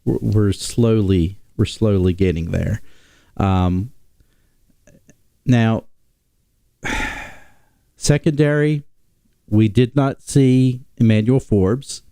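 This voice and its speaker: Slow-talking man, stuffy nasal voice. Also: lowish voice, gravelly, mellow, dry recording. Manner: rambling, halting, many ums and restarts. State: sick, nasal. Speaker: podcast host. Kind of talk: casual sports talk stream.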